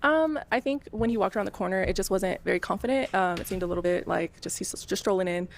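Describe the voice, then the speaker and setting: A woman's voice: mid-range voice, fast talker, slight background noise. A woman in her thirties giving a candid but hedging answer. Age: 30s. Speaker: dating show contestant.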